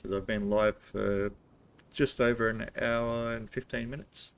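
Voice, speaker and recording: A man's voice: on the low side, steady tone, unhurried, smooth. A man doing casual livestream commentary on a video game, thinking aloud in an informal, offhand way. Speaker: game streamer.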